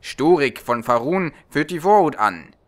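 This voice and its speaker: A man's voice: a little high, lively intonation, unhurried. A man voicing a dutiful military lieutenant, formal and factual, in a fantasy audiobook. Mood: reporting. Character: dutiful military lieutenant giving a crisp report.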